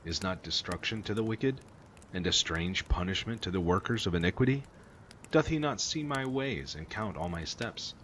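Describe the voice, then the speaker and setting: Low-voiced man reading scripture, noisy recording. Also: animated, moderate pace, a bit bright. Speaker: amateur Bible reader. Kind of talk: reading scripture aloud, King James Version. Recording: clearly noisy recording with ambience.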